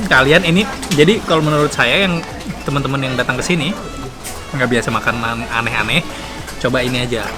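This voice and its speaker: Chatty man over loud music. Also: mid-range voice. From Bandung, Indonesia. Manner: chatty, giggly, repeats himself. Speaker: travel show host. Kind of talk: casual on-camera food tasting chat. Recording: loud music behind, outdoor location sound.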